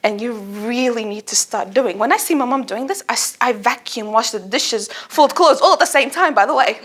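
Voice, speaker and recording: A young woman, a native Arabic speaker, giving a humorous personal speech to an audience in an expressive storytelling manner. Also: a little high, normal speed. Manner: expressive, animated storytelling with acted-out asides.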